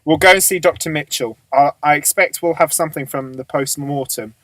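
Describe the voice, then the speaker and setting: A man's voice: a little high, a bit bright, no room sound, lightly acted, low-quality recording. Man acting a businesslike police boss, dry sound. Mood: thoughtful, measured. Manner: measured, matter-of-fact, authoritative. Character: businesslike male police boss briefing his detectives. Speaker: actor in a radio drama. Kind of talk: amateur radio drama acting.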